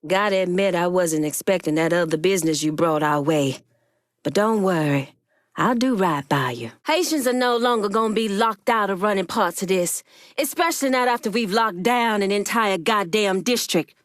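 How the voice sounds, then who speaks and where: A woman's voice: mid-range voice, normal speed, dry recording, studio quality, natural voice. An actress playing a tough, shrewd Haitian woman gang boss in a video game, blunt, streetwise and commanding. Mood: measured, approving.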